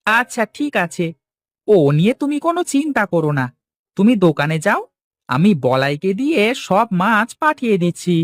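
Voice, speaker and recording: A man puts on a fish market worker for a cartoon. The voice is high and animated, that of a chatty villager who is always in a hurry. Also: normal speed. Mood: reassuring. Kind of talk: Bengali cartoon dialogue acting.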